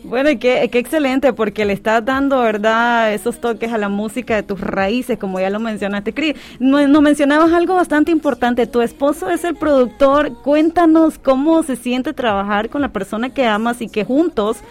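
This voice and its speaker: A woman's voice: on the high side, normal speed, few pauses. A woman hosting a casual radio interview, chatty and encouraging as she puts questions to a guest.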